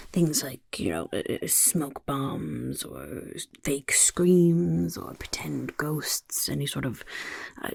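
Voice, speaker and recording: A woman voicing a scheming male goblin who interviews recruits for a chaos horde, in a whispery soft-spoken ASMR roleplay, talking of himself in the third person. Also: deep voice, no echo, studio quality, exaggerated voice. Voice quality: gravelly.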